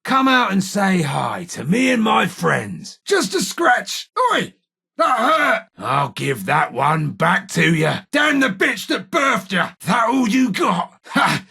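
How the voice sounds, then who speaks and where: An actor playing a cocky, menacing street-gang gunman in a video game, with a raspy voice, a working-class English accent and a taunting, slangy manner. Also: a little high, very animated, slow, hardly any pauses, bright, forceful tone, full character voice. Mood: angry, fierce. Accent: British, working-class English. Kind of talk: video game character voice lines, combat barks.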